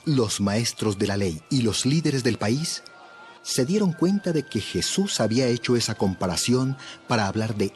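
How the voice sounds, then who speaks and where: Brief: male narrator, mid pitch, lively delivery, soft music under. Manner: expressive, storytelling. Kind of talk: dramatized scripture narration. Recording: voice over light background music.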